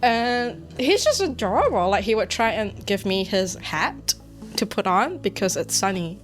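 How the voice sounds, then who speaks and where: A woman's voice: medium pitch, big pitch swings, measured pace, soft background music. Chatty woman telling fond stories. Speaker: interviewee, English teacher abroad. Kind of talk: casual interview answers.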